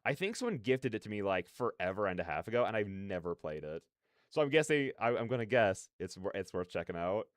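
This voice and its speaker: A man's voice: on the low side, brisk, no echo, clean studio sound. Clean-voiced man chatting on a livestream. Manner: animated, slangy, rambling opinions. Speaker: livestreamer, reaction host. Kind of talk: casual livestream chat with viewers.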